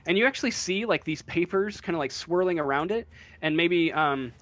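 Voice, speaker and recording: A man acting as game master, improvising narration for a tabletop role-playing game in a halting way, thinking aloud. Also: fairly high pitch, expressive, a bit bright.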